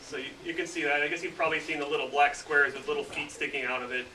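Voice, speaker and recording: A man's voice: fairly high pitch, quick pace. A man, an engineer, casually explaining his work to students in a classroom, with many filler words. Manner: relaxed, lots of "you know" fillers. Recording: roomy distant mic with noise.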